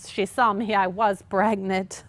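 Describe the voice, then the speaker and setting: An actress playing a pushy, chatty married woman in a comic staged play reading, talking in an excitable, persuasive, emphatic way. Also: mid-range voice, slow, no echo, lightly acted. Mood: gleeful storytelling. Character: pushy, scheming, chatty younger married sister Mary, mother of kids.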